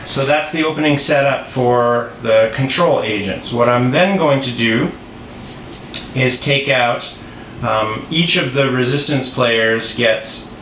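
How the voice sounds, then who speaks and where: Male presenter, mid-pitched, informal and unscripted how-to delivery with distracted asides, recorded in an echoey room. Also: fairly bright tone. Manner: rambling, easily distracted, self-interrupting asides. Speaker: board game designer presenting a tutorial. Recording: roomy echo, clear background noise.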